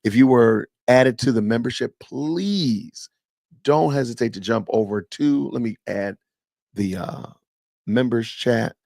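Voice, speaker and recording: A man's voice: medium pitch, vocal fry, dark tone, no echo, studio quality. A man in his fifties hosting a casual live stream, chatting with viewers and answering the live chat as he goes. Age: 50s. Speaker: podcast host.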